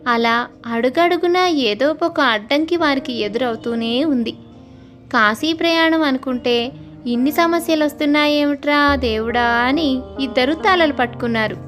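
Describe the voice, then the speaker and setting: A woman's voice: high voice, normal speed. A woman storyteller delivering scripted narration of a moral tale. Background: soft music.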